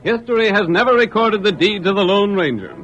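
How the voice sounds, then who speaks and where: A man's voice: high voice, brisk, fairly bright tone. A man narrating a vintage western radio drama as announcer, in a theatrical, declamatory style. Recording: muffled old radio recording. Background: music.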